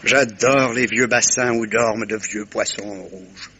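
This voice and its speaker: An actor playing a shrewd, self-satisfied, courteous middle-aged detective in a vintage French radio mystery, with theatrical, pointed pauses. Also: male voice, on the low side, bright, forceful tone, a little hiss or hum. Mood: urbane, amused.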